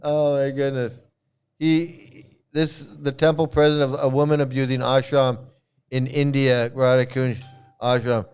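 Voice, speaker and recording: A man's voice: mid-range voice, level tone, a little slow, a little mellow, clear, resonant tone. A man ranting sarcastically as a commentator over video clips, often laughing and repeating his jabs. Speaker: YouTube commentator.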